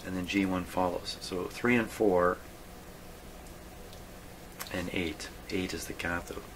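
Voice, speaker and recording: A man hosting a hands-on repair demonstration, thinking aloud in a halting, muttering way as he works. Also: low-pitched, steady tone, fairly bright tone, a little hiss or hum, rough recording. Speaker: host of a radio repair video.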